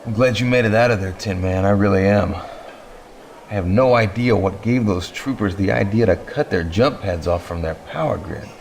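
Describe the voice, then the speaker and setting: A man playing a rueful rebel soldier in a video game, talking informally in a musing, low-key way. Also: moderate pace, creaky. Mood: rueful, puzzled. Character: weary, rueful male rebel comrade. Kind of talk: casual dialogue in a game cutscene. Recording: faint ambient noise, old game audio.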